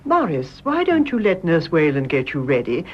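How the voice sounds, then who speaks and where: A British actress playing a gracious, chiding older mother and hostess in a vintage radio drama, genteel and briskly expressive. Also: deep voice, full character voice. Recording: dull lo-fi radio recording.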